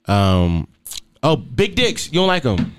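A young man from hosts a loose podcast chat. His pitch swings up and down a lot as he teases his guest. He trips over his words in stutters and restarts, then laughs them off. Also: sing-song, takes it slowly. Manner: joking, teasing, blunt. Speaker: podcast host. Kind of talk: casual chat.

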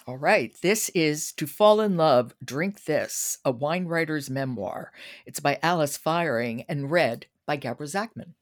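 A woman's voice: deep voice, lively intonation, measured pace, hardly any pauses. A woman hosting a podcast about books, moving between conversation and announcements. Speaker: podcast host. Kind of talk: conversational book review chat and announcing.

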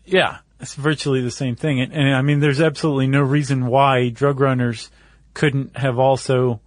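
American man, mid-pitched, very dry sound. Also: flat delivery, moderate pace, dry recording. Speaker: podcast co-host. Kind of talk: casual explanatory conversation.

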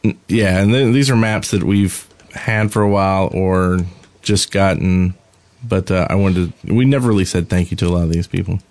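A man's voice: low-pitched, moderate pace, darkish tone, no room sound. A man co-hosting a podcast in casual, conversational chat. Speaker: podcast co-host.

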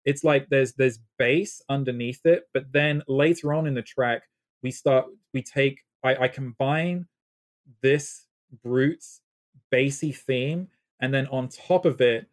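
A man's voice: medium pitch, takes it slowly. A man with a faint British accent, a guest composer chatting at length in a casual interview, enthusiastic and full of fillers. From Britain.

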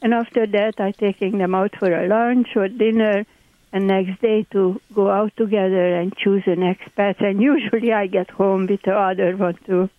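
A woman's voice: mid-range voice, steady tone, normal speed, no echo. A woman in her eighties with a Hungarian accent, chatting as a landlord guest in a radio interview, speaking chatty broken English. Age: 80s. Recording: tinny phone line.

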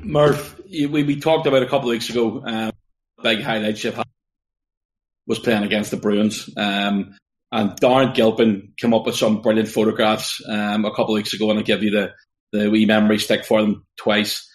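Host, low voice, teasing, lots of ums. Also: male voice, flat delivery, moderate pace. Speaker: podcast co-host. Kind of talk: casual podcast interview.

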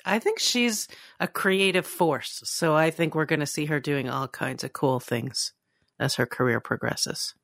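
A woman's voice: sits low, measured pace, fairly bright tone, no echo, clean studio sound. A woman co-hosting a podcast, talking in an informal, conversational way. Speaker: podcast co-host. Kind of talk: casual chat about TV and fandom.

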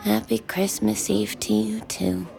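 A teasing, motherly flirt from a small town in an audio drama. She takes her time, with plenty of gravelly creak, over faint music. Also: medium pitch, flat delivery, unhurried. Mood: flirty, coaxing. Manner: teasing, coaxing.

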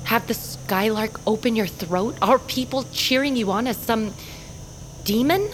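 A woman's voice: fairly high pitch, unhurried, a little hiss or hum, natural voice, poor audio. A woman playing a loyal, wary bird-folk rescuer in a fantasy audio drama, earnest and guarded. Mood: grave, protective.

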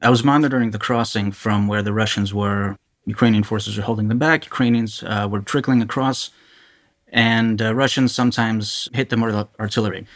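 Low-voiced man giving a halting account. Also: steady tone. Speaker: journalist being interviewed. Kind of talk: candid first-person interview account.

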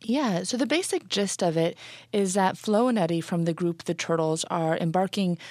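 A woman journalist, a guest on a radio show, explaining a legal matter clearly and briskly. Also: on the low side, a little mellow, no room sound, studio quality. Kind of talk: radio interview answers.

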